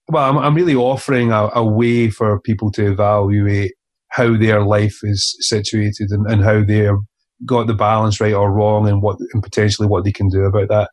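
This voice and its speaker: Brief: man, author guest, reflective and halting, low voice with flat delivery. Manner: earnest, halting, many fillers and restarts. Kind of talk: reflective interview conversation.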